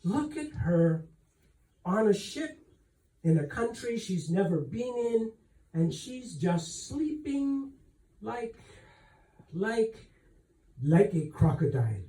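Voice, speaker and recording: A man's voice: sits high, big pitch swings, slow pace, pauses often, warm tone, pitched above the performer's own voice, full character voice. A man voicing an earnest, humble young servant woman from a novel, with a hesitant, deferential way of speaking. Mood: fond, musing. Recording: roomy echo, distant microphone.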